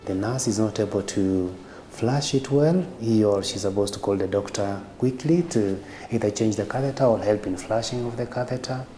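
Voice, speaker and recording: A man with a very deep voice explains care in a measured way, as a doctor would. His voice is set in a roomy echo, with music clearly playing under it. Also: warm tone. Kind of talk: interview commentary. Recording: echoey room with music behind.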